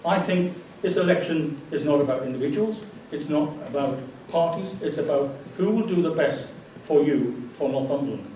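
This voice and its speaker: An older man from England, speaking loudly and confidently at a hustings without a microphone. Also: on the high side, normal speed, distant mic. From North East England. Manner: loud, self-assured. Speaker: councillor and candidate. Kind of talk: public hustings speech. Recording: very echoey hall, no mic, faint noise.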